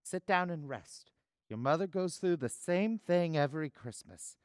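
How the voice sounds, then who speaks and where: A man playing a kindly, mild family father in a radio drama, speaking gently and a little hesitantly. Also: fairly high pitch, lively intonation, unhurried, clear, resonant tone, dry recording, clean studio sound. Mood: affectionate. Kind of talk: stage-style radio drama acting.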